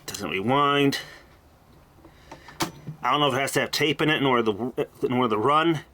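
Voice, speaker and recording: A man showing off an old tape machine in a casual walkthrough, speaking in an unhurried way and thinking aloud as he goes. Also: mid-range voice, bright, forceful tone, a little hiss or hum. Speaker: hobbyist showing a tape recorder.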